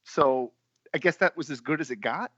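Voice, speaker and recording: A man's voice: fairly high pitch, normal speed, fairly bright tone, breathy edge, no room sound, muffled recording. A man co-hosting a podcast, giving blunt, opinionated film commentary in a casual style. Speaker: podcast co-host.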